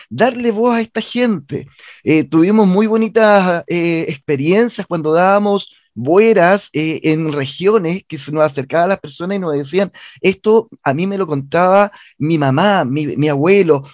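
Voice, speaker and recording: A Chilean man with a somewhat high voice explains his theatre work as an interview guest. He is earnest and narrative, repeating phrases to stress them. The sound is very dry and slightly dull, with a lo-fi edge. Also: dry recording.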